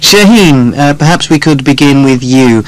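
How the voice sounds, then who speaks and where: A man's voice: medium pitch, lively intonation, normal speed, rough recording. A man presenting in a scripted radio style, as announcer and host for a language lesson.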